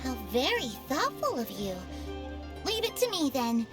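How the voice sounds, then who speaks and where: Bubbly little fairy sidekick, high and lively, game soundtrack under. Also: female voice, a little slow. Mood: sweet, businesslike. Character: small floating fairy girl companion, excitable and chirpy. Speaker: game character. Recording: game audio over loud music.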